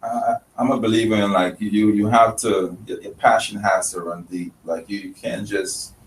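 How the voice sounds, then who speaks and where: A man's voice: fairly low pitch, fairly even intonation, moderate pace. A man from Grenada and Trinidad, a chef and restaurant owner, talking earnestly in an interview with many restarts. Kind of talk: casual interview talk. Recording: roomy, distant mic, faint noise.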